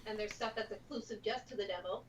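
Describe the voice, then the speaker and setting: A woman's voice: medium pitch, fast talker. A woman chiming in casually during a chat. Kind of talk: casual interjections. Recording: noisy, dull lo-fi stream audio.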